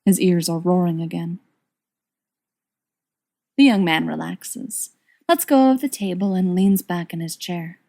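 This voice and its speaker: A woman's voice: fairly low pitch, unhurried, creaky, pauses often, mellow. A woman reading a scripted fiction story aloud as an audiobook narrator. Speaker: audiobook reader.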